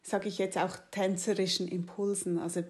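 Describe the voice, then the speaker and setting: A woman's voice: medium pitch, level tone, moderate pace. A woman hosting a conversation, thinking aloud with frequent restarts and fillers. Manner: hesitant, many restarts and fillers, warm enthusiasm; mixes in English phrases. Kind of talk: casual conversation.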